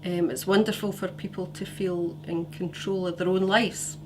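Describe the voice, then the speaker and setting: A middle-aged woman with a Scottish accent, a parent carer giving gentle, hesitant interview answers with many pauses. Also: lowish voice, fairly even intonation. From Scotland. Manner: gentle, pausing with many fillers. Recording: noisy recording with hum.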